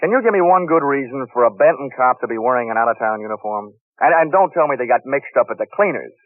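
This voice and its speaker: A man's voice: medium pitch, expressive, fast talker, no room sound, close to an everyday voice. A man playing a sardonic, hard-boiled insurance detective with slangy dry wit, in 1940s radio style. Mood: probing. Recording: tinny 1940s radio recording with music.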